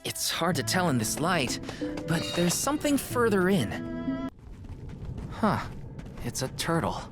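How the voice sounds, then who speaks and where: A man's voice: a little high, wildly swooping pitch, slow pace, full character voice. A man voicing an easygoing, practical young hero in a video game, with a casual manner. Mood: curious. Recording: game music behind the voice.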